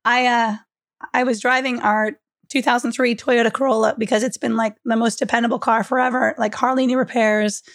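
A woman with a cold-worn, hoarse voice tells a story to her co-hosts. Her pitch sits a little high, and the sound is dry and close with no room around it. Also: little pitch movement, fairly quick, no echo. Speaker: podcast co-host. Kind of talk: casual chat, storytelling.

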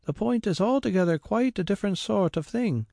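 A man's voice: high voice, lively intonation, a little fast, gravelly, dark tone, no room sound, studio quality, pitched above the performer's own voice. A man voicing a woman housekeeper with rustic speech and a prickly manner. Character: prim middle-aged woman housekeeper, touchy.

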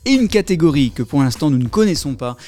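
A man hosting a radio show from a studio, presenting in a lively style. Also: on the high side, fast.

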